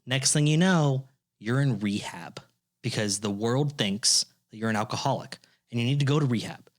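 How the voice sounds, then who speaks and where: A man in his twenties co-hosting a podcast, teasing his co-host in casual banter and often breaking into high laughter. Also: normal speed. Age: 20s.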